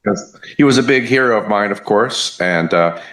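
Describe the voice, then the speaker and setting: A man in his fifties from the Southern United States with a Southern US accent, chatting as a musician guest in an interview, relaxed and hesitant with many fillers. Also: lowish voice, moderate pace. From Southern United States (Kentucky, Memphis). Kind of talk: casual interview chat. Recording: echoey room.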